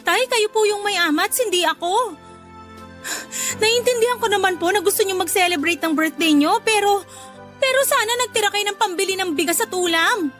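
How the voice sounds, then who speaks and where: A woman's voice: extremely high pitch, normal speed, fairly bright tone. A woman acting an exasperated, outspoken daughter in a radio drama, pleading and reproachful yet polite. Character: exasperated, outspoken school-age daughter, polite but weary. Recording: music behind the voice. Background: music.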